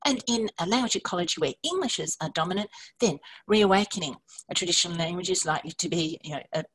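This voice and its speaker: A woman giving a measured conference presentation, explaining slides with occasional fillers. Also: fairly low pitch, poor audio. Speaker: academic presenter.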